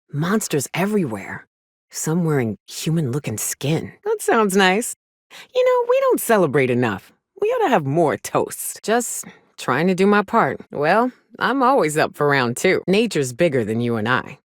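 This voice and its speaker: A woman's voice: low voice, no room sound, clean studio sound. An actress playing a jaded, hard-drinking frontier mercenary woman in a video game, blunt, sardonic and defiant, with salty phrasing. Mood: grim, reflective, then wry, relaxed. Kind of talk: video game character dialogue.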